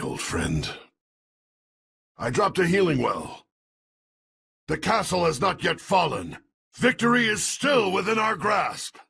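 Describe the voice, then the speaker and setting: Very gravelly, grave game warrior. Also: male voice, mid-range voice, a bit bright, heavily acted. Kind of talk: dramatic video game voice lines.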